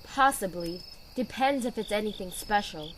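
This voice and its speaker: A woman playing a dutiful, weary knight commander in an audio drama, speaking in a measured, authoritative way. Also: medium pitch, expressive, fairly bright tone, slight background noise, lightly acted. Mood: pondering.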